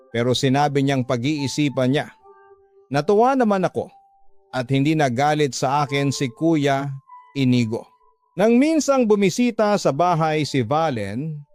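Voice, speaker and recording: A man's voice: mid-range voice, darkish tone, clean tone. A man with a Filipino accent telling a first-person story as the narrator of a radio drama.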